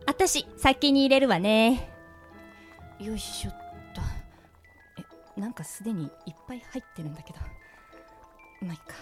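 A woman's voice: fairly high pitch, animated, moderate pace, long pauses, soft background music, lightly acted. A woman playing a sensible, exasperated young woman who scolds her friends, in an amateur radio drama. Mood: brisk.

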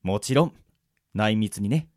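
A man playing an easygoing middle-aged swordsman mentor in a radio drama, moving between polite formality and gruff casual talk. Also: lowish voice, animated, unhurried, darkish tone, no echo, clean studio sound. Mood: composed, courteous. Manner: switches between smooth polite formality and rough casual drawl. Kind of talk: anime-style radio drama acting.

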